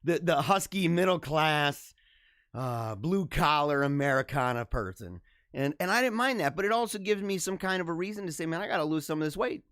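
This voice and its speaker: A man's voice: a little high, expressive, brisk, a bit bright, no echo, clean studio sound. A man hosting a live-streamed talk show, chatting casually with viewers and reading their comments. He chuckles often and repeats phrases as he talks.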